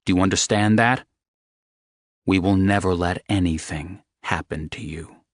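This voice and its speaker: A man voicing a protective young man who is gentle but swears freely. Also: a little slow, no echo, clean studio sound. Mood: tender. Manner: low, gentle, coarse-talking.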